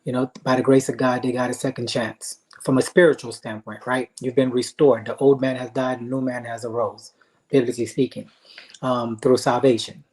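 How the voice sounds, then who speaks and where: A man from Haiti, guest and motivational speaker, telling his story earnestly with frequent pauses. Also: mid-range voice, flat delivery, darkish tone, poor audio. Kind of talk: interview answers, earnest storytelling.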